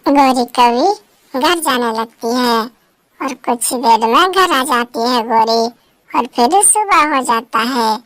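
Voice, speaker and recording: A woman with a high-pitched voice narrates a children's cartoon tale. It is scripted storytelling, recorded dry with no room sound. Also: animated, takes it slowly, a bit bright, dry recording.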